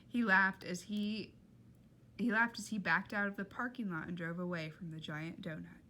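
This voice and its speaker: A woman reading a children's novel aloud in a dramatic, expressive storytelling style. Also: medium pitch, normal speed. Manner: dramatic, with emphatic character voices.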